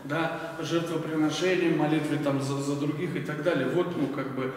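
A man's voice: a little high, fairly even intonation, moderate pace, slight background noise. A man in his forties preaching at a lectern in a church hall, conversational and full of fillers, asking the audience questions. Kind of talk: sermon. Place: bright church hall with large windows. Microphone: stand mic at a lectern, filmed from afar. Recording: echoey hall from far off.

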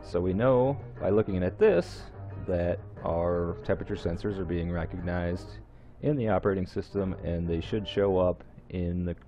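A man with a very low, gravelly voice talks a viewer through a computer task one step at a time, with the odd filler and restart. His tone is dark and mellow. The voice-over sounds a little dull and lo-fi, with faint music underneath. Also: normal speed. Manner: plain, step-by-step instructions with some fillers and restarts. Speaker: host of a how-to video series. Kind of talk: screen-recorded tech tutorial. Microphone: voice-over recorded over screen capture.

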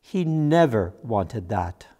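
Older guy playing frightened biblical disciple, slow and pleading, big echoey church. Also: medium pitch, very animated, mellow. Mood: troubled. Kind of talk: dramatic monologue in a church service. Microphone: gooseneck podium microphone. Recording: very reverberant church hall.

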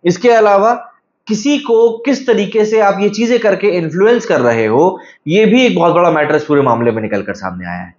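A man's voice: high-pitched, lively intonation, moderate pace, darkish tone. Emphatic man explaining news, roomy sound. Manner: emphatic, storytelling with rhetorical asides. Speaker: news commentary host. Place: room with brick-pattern wall. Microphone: clip-on lapel mic. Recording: roomy, slightly dull sound.

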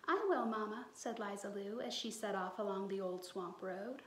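A woman in her thirties reading a picture book aloud to children with dramatic, drawn-out emphasis. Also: mid-range voice, fairly even intonation. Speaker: children's storyteller. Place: small bright room indoors. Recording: roomy indoor echo.

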